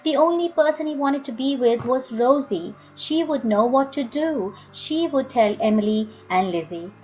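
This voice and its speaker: Woman narrator, high voice, very varied intonation, expressive storytelling, dull home recording. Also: normal speed.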